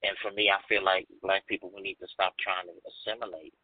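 A man's voice: sits low, level tone, quick pace, no echo. A man in his forties, a podcast guest talking candidly and emphatically, repeating phrases for stress. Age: 40s. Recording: dry tinny phone-like audio.

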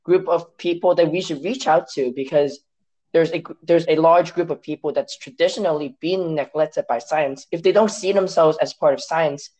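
A man from Hong Kong whose first language is Cantonese, speaking as an interview guest in a measured, reflective way with some restarts. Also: fairly high pitch, darkish tone. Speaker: guest, science story editor.

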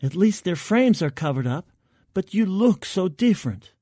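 A man voicing a female military medic in an audio story, gentle, earnest and concerned as she probes. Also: high-pitched, sing-song, normal speed, no room sound, clean studio sound, pitched above the performer's own voice.

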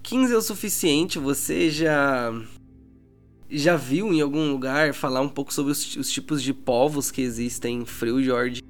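Man, Brazilian accent, mid-pitched, game master storytelling, colloquial, faint guitar music behind. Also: unhurried. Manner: colloquial, slangy. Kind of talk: narrating a tabletop roleplay audiodrama, informal banter.

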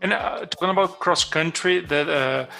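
A man hosting an interview podcast, asking questions with halting restarts. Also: on the high side, creaky, bright, forceful tone, faint music behind. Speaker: podcast host.

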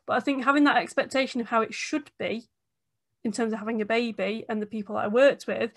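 A woman's voice: a little high, fairly even intonation, fast, fairly bright tone, no echo, clean studio sound. A woman leading a panel discussion, speaking earnestly with hesitant restarts. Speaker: discussion moderator. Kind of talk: conversational discussion.

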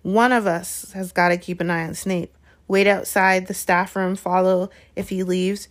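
A woman's voice: lowish voice, flat delivery, unhurried, close to an everyday voice. Woman reading as headstrong schoolboy, storybook, earnest, pushy and urgent.

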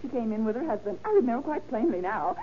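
A woman's voice: on the high side, sing-song, quick pace. An actress playing a fussy, talkative hat shop saleswoman with a rambling, fluttery manner in 1930s radio style. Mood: recollecting. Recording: muffled, hissy old radio recording.

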